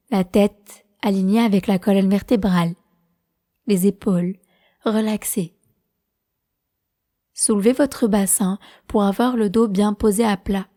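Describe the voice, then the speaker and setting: A woman guides a meditation at a very unhurried pace. Her voice is soft-edged and mellow, at a medium pitch. She gives each instruction in a calm, measured, soothing manner. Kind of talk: slow guided prenatal meditation narration.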